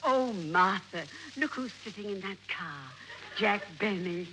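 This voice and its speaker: A woman playing a sweet, excitable, starstruck old lady in 1940s radio comedy, with a gushing manner. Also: mid-range voice, a little slow, natural voice. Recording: noisy, crackly old radio recording.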